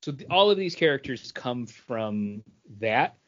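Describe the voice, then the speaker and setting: A man of medium pitch speaks as a casual podcast co-host. He explains details in a nerdy, conversational manner. The recording sounds somewhat muffled and lacks detail. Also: expressive, unhurried, a bit bright. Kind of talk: casual chat, explaining comic and film trivia.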